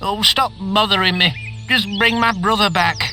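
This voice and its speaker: A man's voice: sits high, steady tone, normal speed, music in the background, natural voice. A man playing an anxious, impatient villager who pleads fretfully in a fantasy video game. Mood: pleading, fretful.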